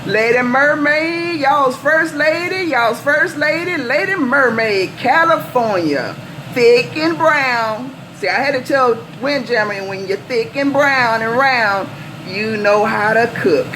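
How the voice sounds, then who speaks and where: A woman's voice: high-pitched, animated, slow pace. An American woman working as a CB radio operator, bantering in folksy slang and repeating calls, handles and sign-offs. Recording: noisy live CB radio setup.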